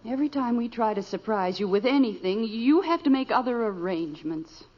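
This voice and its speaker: An actress playing a composed, gently teasing middle-aged wife and mother, patient but firm, in old radio sitcom style. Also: a little high, expressive, fairly quick. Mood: reproachful. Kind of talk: 1940s-50s radio sitcom acting. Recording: muffled, hissy old radio recording.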